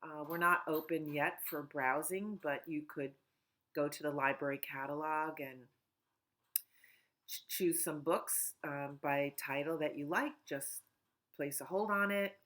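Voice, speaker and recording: Low-voiced woman reading to children. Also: steady tone. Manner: gentle, sing-song, talks slowly to children. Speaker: children's librarian. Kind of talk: storytime reading and chat for children. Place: at home.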